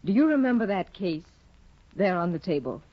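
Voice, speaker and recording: An actress in a 1950s radio play, voicing a cold, controlling aristocratic woman who speaks in a cool, measured way. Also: on the low side, big pitch swings, dry recording. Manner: cool, measured, quietly manipulative. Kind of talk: 1950s radio drama acting. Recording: dull, dry 1950 radio broadcast.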